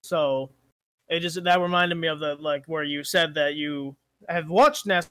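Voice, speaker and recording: A man's voice: on the high side, fairly even intonation, normal speed, bright, forceful tone, no echo. A young man in a casual chat with friends, working things out aloud and second-guessing himself. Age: young adult. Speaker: guest friend answering questions.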